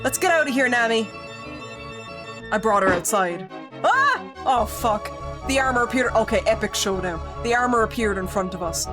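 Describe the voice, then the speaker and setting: A woman streamer reading a spooky visual novel aloud, breaking into jokey, excitable reactions between calm narration, with music behind her. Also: on the high side, moderate pace.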